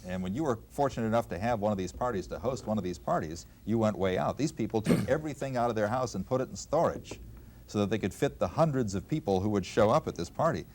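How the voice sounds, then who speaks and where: An older man with a fairly low voice tells stories from memory in an unscripted way. He sounds like a radio veteran, pausing and picking up the thread as he goes. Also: animated, a little mellow, slight background noise. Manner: halting, anecdotal, quotes past conversations. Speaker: radio veteran, former program director. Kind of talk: unscripted reminiscing, storytelling.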